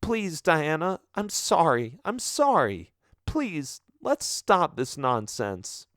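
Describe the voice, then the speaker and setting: A man reading a story aloud, voicing a defiant, hot-tempered teenage girl in a raised, shrill voice. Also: very animated, slow pace, fairly bright tone, no room sound, clean studio sound, full character voice. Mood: pleading.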